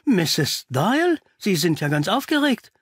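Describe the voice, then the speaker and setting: Man voicing a flustered village doctor, high and husky. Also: sing-song, a bit bright, no room sound, studio quality, heavily acted. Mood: uneasy. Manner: agitated, halting.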